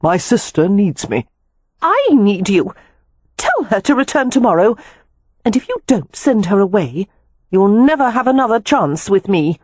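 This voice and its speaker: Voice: medium pitch, sing-song, measured pace, a little mellow, dry recording, pitched above the performer's own voice. A narrator voicing a haughty, amorous young lady in an audiobook, heated, demanding and dramatic in manner. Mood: insistent. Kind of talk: audiobook character dialogue.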